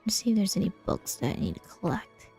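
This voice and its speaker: A woman's voice: medium pitch, wildly swooping pitch, fast talker, gravelly, mellow. A woman casually chatting as she streams a game, her voice affected by a cold she is recovering from. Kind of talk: casual gameplay stream chat. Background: faint game music.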